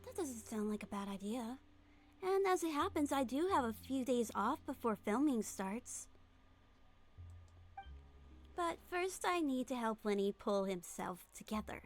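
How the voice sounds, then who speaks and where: Woman as composed, high-voiced young game heroine. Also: very animated, a little slow. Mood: calm, matter-of-fact. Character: calm young female magician's assistant, composed caring sister. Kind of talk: video game fan dub.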